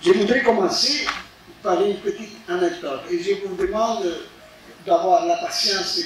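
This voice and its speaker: Slow older man in an echoey hall. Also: high voice, distant mic, background noise. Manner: halting, searching for words. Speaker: guest speaker, former ambassador.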